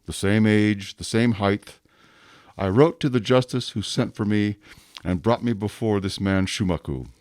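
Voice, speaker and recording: An actor playing a rigid, dutiful police inspector in a radio drama, speaking formally and deliberately with stiff deference. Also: male voice, on the low side, a little mellow, no echo, studio quality, natural voice. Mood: insistent, certain. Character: rigid, dutiful male police inspector, self-accusing and obsessive.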